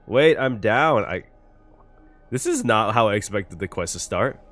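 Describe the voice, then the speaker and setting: A man doing casual, expressive game commentary as a reaction streamer, swearing casually and exclaiming in dismay. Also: fairly low pitch, a little slow. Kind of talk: casual game commentary, reading story text aloud. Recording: game music behind the voice.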